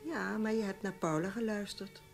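An actress playing a shrewd, frank former newspaper secretary who gives knowing advice in a radio drama. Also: female voice, medium pitch, moderate pace, creaky, soft background music, close to an everyday voice. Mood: shrewd, advising. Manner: knowing, advisory. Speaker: actor.

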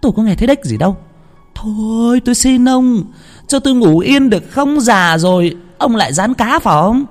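Cranky old wife scolding her man, squeaky high and fast. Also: expressive, faint music behind. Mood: annoyed. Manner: nagging, cursing.

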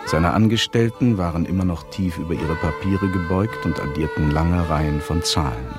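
A composed narrator played by a man tells a chronicle in a radio drama. His voice is low, with a measured storytelling delivery. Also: over music, natural voice. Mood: grave. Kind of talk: scripted radio play narration.

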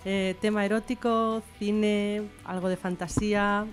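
A woman's voice: medium pitch, fairly even intonation, unhurried, faint music behind. Middle-aged Barcelona woman, chatty interview guest. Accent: Spanish from Barcelona. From Barcelona, Spain. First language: bilingual Catalan and Spanish. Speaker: guest writer. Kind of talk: informal interview conversation.

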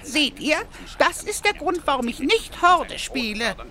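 High, animated cheeky goblin played by a woman. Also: measured pace, exaggerated voice. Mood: smug. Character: cheeky goblin gamer, proudly deluded. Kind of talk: comic audio drama dialogue.

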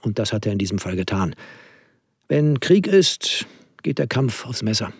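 An actor playing a cold, menacing Nazi propaganda minister in a radio drama, speaking emphatically and rhetorically. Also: male voice, fairly low pitch, sing-song, normal speed, husky, no room sound, studio quality, heavily acted. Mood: cold, ruthless.

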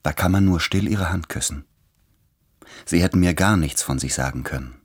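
An actor playing a tender, anxious man writing love letters in a radio drama, speaking in an earnest, measured and intense way. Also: low-pitched, breathy edge.

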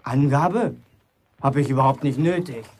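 An actor playing a cheeky, boastful young bricklayer in a German radio play, talking colloquially and defiantly. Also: male voice, medium pitch, moderate pace, a little mellow, slightly rough. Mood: defiant, mocking. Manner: colloquial, provocative, self-justifying.

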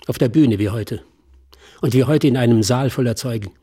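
A self-assured stage hypnotist in a radio drama, acted by a man, musing and deliberate. The voice is mellow and husky at a medium pitch, with a wistful edge. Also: lightly acted. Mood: knowing, composed. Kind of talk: German radio drama acting.

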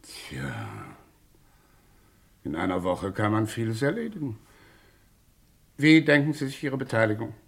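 Wary rich investor in old radio thriller; rough husky tone, fairly low, deliberate theatrical phrasing. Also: male voice, big pitch swings, full character voice. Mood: shrewd, businesslike. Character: wary, shrewd wealthy English gentleman investor. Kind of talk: German radio crime drama acting, mid-20th century.

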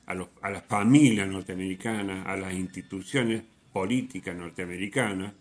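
A man hosting a radio programme, giving reflective music commentary in a halting way, often repeating himself as he searches for words. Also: low voice, steady tone. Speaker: radio host.

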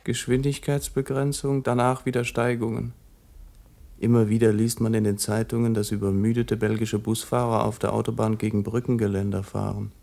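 An actor playing a detached, deadpan narrator of motorway observations in an experimental radio play. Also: male voice, fairly low pitch, moderate pace, creaky.

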